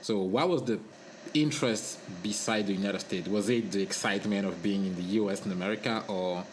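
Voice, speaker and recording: A man hosts a podcast interview, asking questions in an easygoing, conversational way. His voice is on the low side, and he pauses often with hesitant fillers. A faint noise runs underneath. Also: rough recording. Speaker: podcast host.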